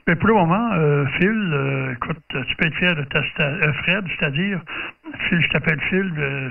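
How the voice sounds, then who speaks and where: Muffled, gravelly Quebec man on radio. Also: fairly high pitch. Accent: Quebec French. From Quebec, Canada. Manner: hesitant, rambling, repeats himself. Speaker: amateur radio operator running a group contact. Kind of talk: casual radio chat. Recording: muffled distant lo-fi radio audio.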